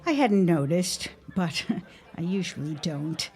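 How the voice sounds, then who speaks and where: An actress playing a doting, gently wry middle-aged 1910s mother in an audio drama, coaxing as she talks. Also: lowish voice, expressive, slow pace. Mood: wry, cheerful. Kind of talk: period audio drama acting.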